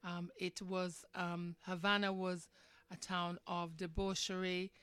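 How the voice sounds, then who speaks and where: A woman's voice: lowish voice, little pitch movement, measured pace, fairly bright tone, smooth, no echo. A woman hosting a live radio discussion, speaking in a conversational, opinionated way and putting questions to her listeners. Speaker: radio show host.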